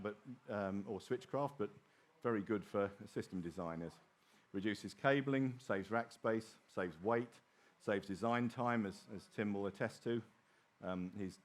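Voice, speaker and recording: A middle-aged man giving a technical conference presentation in an informal way with wry asides. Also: on the low side. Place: small open presentation theatre at a trade show.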